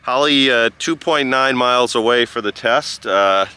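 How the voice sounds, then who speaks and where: A man giving a casual vlog-style review outdoors in a halting, rambling way. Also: mid-range voice, pushed and bright, clear, resonant tone. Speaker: product reviewer and amateur radio operator. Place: outdoors by a rural road.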